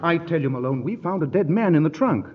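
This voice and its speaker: An actor playing a fussy, flustered newlywed husband in a 1940s radio comedy, on a dull old broadcast recording. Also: male voice, on the high side, animated, fast talker.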